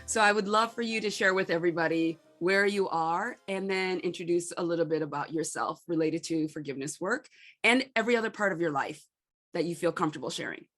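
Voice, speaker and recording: A woman's voice: fairly low pitch, a little fast, a bit bright, soft background music. Woman host asking probing questions. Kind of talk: warm interview hosting.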